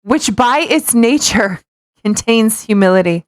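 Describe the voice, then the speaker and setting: A woman's voice: fairly high pitch, lively intonation, unhurried, dry recording, studio quality. A woman co-hosting a podcast, reading aloud mockingly with playful, casual banter and a tendency to stumble over words. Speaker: podcast co-host.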